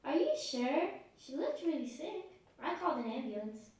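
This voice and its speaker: A woman's voice: sits high, sing-song, moderate pace, darkish tone. A female performer playing an innocent, anxious little girl with childlike, questioning speech in an amateur stage play. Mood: worried. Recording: echoey stage, distant mic, faint noise.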